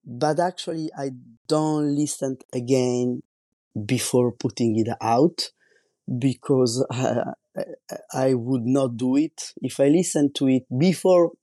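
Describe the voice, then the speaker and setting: A man in his forties from Italy, with an Italian accent, hosting a solo podcast in English, his second language, slowly and carefully hunting for words. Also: mid-range voice, fairly even intonation, dark tone, dry recording. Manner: slow, careful, searching for words. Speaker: podcast host.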